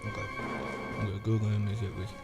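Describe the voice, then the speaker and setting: A man's voice: lowish voice, completely flat delivery, quick pace, loud music behind the voice. A man co-hosting a casual film podcast, teasing and joking with the others. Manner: joking, teasing, laughing often. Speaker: podcast co-host. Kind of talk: casual chat about films.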